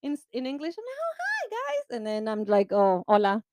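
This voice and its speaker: A woman podcast co-host with a Spanish-speaking accent and a slightly high voice. She chats in a lively way, laughs a lot, and slips into Spanish. The recording is close and dry. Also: fairly quick, no room sound. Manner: lively, laughing, bilingual code-switching. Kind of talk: casual chat.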